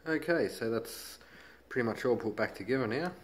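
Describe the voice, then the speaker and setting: A man's voice: medium pitch, expressive, moderate pace. An Australian man giving a casual, laid-back hands-on how-to commentary in colloquial language. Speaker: hobbyist demonstrator. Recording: noisy handheld home video.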